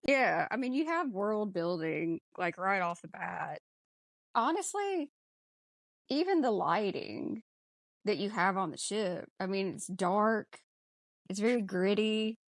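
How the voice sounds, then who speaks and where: A woman's voice: medium pitch, expressive, slow pace, no echo, studio quality. A woman co-hosting a casual movie discussion podcast, speaking in an emphatic, informal, playful way. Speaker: podcast co-host.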